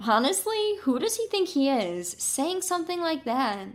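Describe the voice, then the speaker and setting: A woman's voice: sits high, sing-song, normal speed. A woman reading a scripted first-person story as its narrator.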